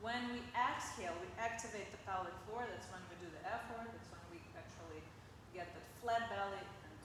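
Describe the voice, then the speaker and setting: A woman's voice: medium pitch, a little fast. A woman from Ukraine with a Ukrainian accent, leading a yoga class in a playful, coaxing way and counting out the breaths. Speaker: yoga instructor. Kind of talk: guided yoga class instruction. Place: large gym hall. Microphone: room mic far from speaker. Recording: echoey hall from far off, background noise.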